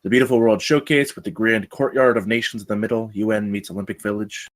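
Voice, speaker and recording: A man's voice: on the low side, fairly even intonation, fast. A man narrating stage directions from a screenplay at a table read.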